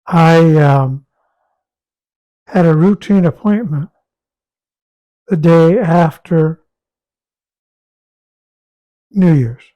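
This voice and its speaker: A man with a raspy, hoarse voice talking casually about his health, halting and repeating words as he goes. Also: on the high side, muffled recording. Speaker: guest sharing a health update. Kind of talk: casual conversation.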